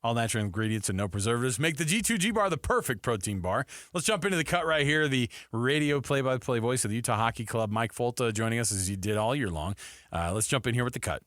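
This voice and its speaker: Bright, forceful male sports radio host. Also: mid-range voice, expressive, fast talker, dry recording, clean studio sound. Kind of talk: casual sports radio chat.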